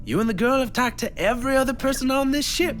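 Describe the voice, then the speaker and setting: A woman's voice: sits high, fast, over music, lightly acted. A woman playing a cool, laid-back, needling passenger in an audio drama, full of hipster slang. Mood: cool, teasing.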